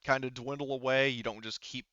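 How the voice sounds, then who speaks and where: A man's voice: mid-range voice, flat delivery, a little fast, bright, forceful tone, clear, resonant tone, no echo, lo-fi sound. A man speaking casually as a game master, hesitantly thinking aloud as he works through tabletop game rules.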